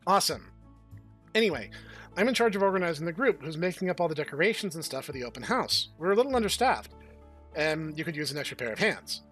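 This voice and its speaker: A perky young female student who loves to scheme and tease, as a man voices her while reading a game aloud. She sounds high and bright. Faint music plays underneath. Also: sing-song, quick pace, breathy edge, heavily acted. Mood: cheerful.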